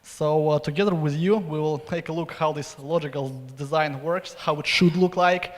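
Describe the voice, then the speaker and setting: A young man giving a halting, informal pitch for his conference talk into a handheld microphone. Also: steady tone. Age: young adult. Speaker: conference speaker. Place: stage in a large conference hall. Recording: reverberant hall, live PA sound.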